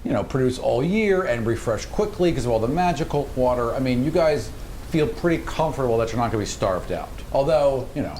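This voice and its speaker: A man serves as game master, narrating a tabletop fantasy game. His voice sits at a middle pitch, and there is clear noise in the background of the recording. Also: lively intonation, a little fast, darkish tone.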